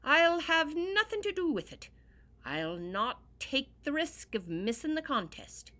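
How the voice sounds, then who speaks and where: A proud young man refuses loudly and stubbornly in a raised voice, as a woman puts him on for a storybook. His words come out forceful and sharp. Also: low voice, huge pitch swings, normal speed, husky, full character voice. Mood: defiant.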